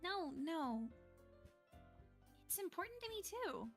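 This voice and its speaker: A woman playing a friendly, caring teenage club president in an amateur visual novel dub, gentle and a little coy. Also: extremely high pitch, sing-song, slow pace, soft background music, full character voice. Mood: concerned, gentle. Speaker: guest player voicing a game character.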